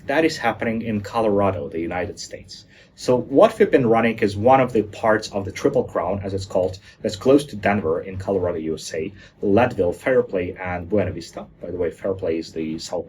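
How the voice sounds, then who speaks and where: Lighthearted man giving a talk, low voice. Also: darkish tone, a little hiss or hum. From Germany. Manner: jokey, lighthearted, with hesitant fillers. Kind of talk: recorded presentation talk.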